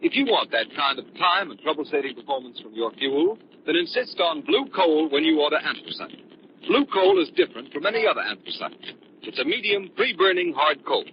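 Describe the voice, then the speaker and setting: Lively 1930s radio pitchman, muffled recording. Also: a little fast, a little hiss or hum. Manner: emphatic, salesmanlike. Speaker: commercial announcer.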